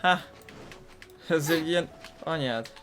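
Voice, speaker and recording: A man's voice: on the high side, measured pace. A young man from Hungary giving casual, blunt, grumbling commentary as he plays a video game. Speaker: gaming video host. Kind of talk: casual, sweary let's-play commentary. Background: video game music.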